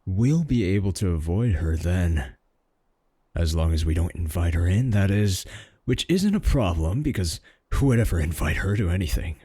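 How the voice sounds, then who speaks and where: A man acts out a boastful, doting male werewolf in a comic audio drama. His voice is lowish and husky, with big swings in pitch as he drawls and mocks his rival. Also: animated, moderate pace, dark tone, dry recording, clean studio sound. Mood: smug, mocking. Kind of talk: comedic audio roleplay acting.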